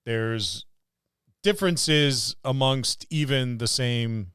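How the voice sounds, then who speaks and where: A man's voice: medium pitch, takes it slowly, fairly bright tone, clean tone, no room sound. A middle-aged man co-hosting a podcast, chatting casually about tabletop gaming with dry, wry teasing. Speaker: podcast co-host.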